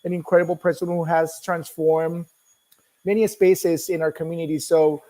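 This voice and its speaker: A middle-aged man with a Colombian accent talks as a guest in a casual interview. His voice sits a little high for a man. He is a native Spanish speaker, informal and talkative as he tells his own story. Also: little pitch movement, moderate pace, dark tone. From Bogota, Colombia. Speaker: guest, nonprofit executive.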